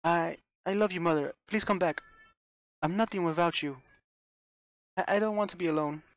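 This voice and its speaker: Male reader doing a clingy, unhinged young woman; raised pitch, dry close sound, stuttering pleas. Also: steady tone, moderate pace, no echo, close to an everyday voice. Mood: pleading. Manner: pleading, sobbing, stumbling restarts.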